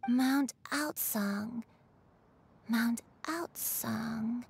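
An actress playing a gentle, troubled elderly woman in a video game, speaking softly and haltingly. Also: fairly high pitch, husky, full character voice. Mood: uncertain. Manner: hesitant, soft, halting. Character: gentle, troubled elderly granny with lost memories.

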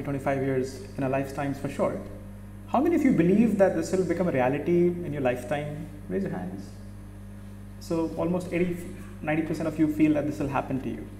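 Middle-aged man giving a keynote at a podium, higher-pitched voice, long hall reverb. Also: dark tone. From India. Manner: addresses audience directly, asks questions, corrects himself. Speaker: keynote speaker. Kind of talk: conference keynote talk. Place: large auditorium stage. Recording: echoey hall, faint hum.

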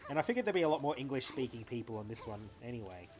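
A man's voice: mid-range voice, expressive, racing, a little mellow. A young Australian man chatting playfully to himself while streaming a game live from home. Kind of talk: live gameplay commentary.

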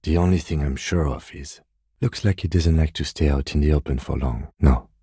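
A middle-aged police detective in a video game, with an extremely low male voice. He is composed and precise, choosing his words with restraint. The sound is dry and close. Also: moderate pace, warm tone, breathy edge, no echo, heavily acted. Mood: cautious, skeptical. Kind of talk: video game voice acting, understated dialogue.

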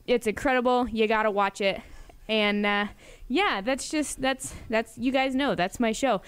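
A woman's voice: on the high side, unhurried, clean tone. A young adult American woman presenting casually on college radio, chatting informally to her listeners.

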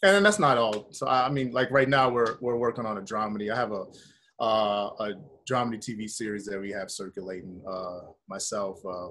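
A middle-aged American man with a husky voice, talking informally as an interview guest on a video call. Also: fairly low pitch, a bit bright, a little hiss or hum. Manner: informal, conversational, peppers talk with "man" and "you know". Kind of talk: casual interview chat. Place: indoor room with bare wall, video call.

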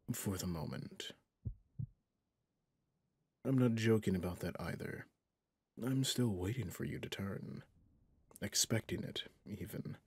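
A man playing a stern, haunted, centuries-old vampire hunter in an ASMR roleplay, speaking with slow menace in a breathy, gravelly voice that often drops to a whisper. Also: low voice, dry recording, exaggerated voice. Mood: cold, threatening. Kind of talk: ASMR roleplay monologue to the listener.

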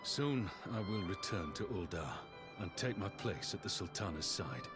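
An actor playing a stern, weary, middle-aged warrior general in a video game, speaking formally and with measured gravity. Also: male voice, deep voice, lively intonation, music in the background. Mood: proud, solemn. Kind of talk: scripted video game dialogue.

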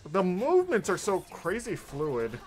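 A man with a slightly high voice hosts a casual reaction stream. He comments in a surprised, exclamatory way while music plays behind him. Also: huge pitch swings, a little slow, a little mellow, low-quality recording. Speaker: streamer hosting a reaction video.

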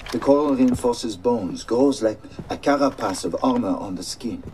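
An actor plays a grave male military doctor in a game cinematic. His delivery is measured and mid-pitched, with clear noise behind it. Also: natural voice. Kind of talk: video game cinematic acting.

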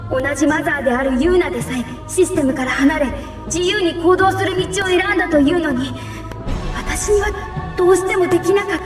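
Woman as solemn imperious machine queen, archaic speech, high voice, echoing, game drama. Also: close to an everyday voice. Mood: regretful. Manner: archaic, commanding, deliberate phrasing. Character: solemn, imperious female machine ruler, wistful and resigned. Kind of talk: dramatic Japanese video game cutscene acting. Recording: echoey, distant, music behind.

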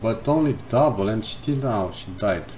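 A man commenting casually on a game as he plays, reading the game text aloud and thinking out loud. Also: on the low side, expressive, normal speed, a little hiss or hum, low-quality recording. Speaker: gameplay streamer. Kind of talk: casual let's-play commentary. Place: indoors at a computer. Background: game music.

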